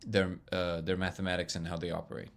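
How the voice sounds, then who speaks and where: A man hosting a casual live stream, reading viewer comments and answering them in an excitable, loud, booming voice, laughing often. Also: quick pace, vocal fry. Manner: excitable, laughs often, reads chat aloud. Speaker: livestream host answering chat.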